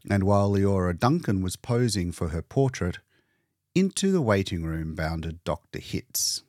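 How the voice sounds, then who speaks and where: A man narrating a short story as an audiobook reader, in an expressive scripted style. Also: low voice, measured pace, dry recording, studio quality.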